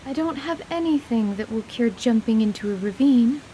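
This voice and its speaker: A woman's voice: on the high side, animated, normal speed, dark tone, a little hiss or hum, natural voice, rough recording. A woman voicing a cautious, practical young herbalist with a polite, dry manner in a radio play. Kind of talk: dramatized tabletop radio play.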